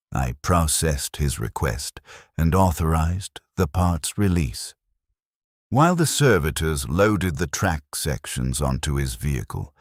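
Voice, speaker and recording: An extremely deep male voice, recorded very dry and close. An actor plays a weary imperial clerk looking back on a war, telling his story in a somber, measured way with plenty of movement in the pitch. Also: no room sound, heavily acted. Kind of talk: scripted first-person story narration.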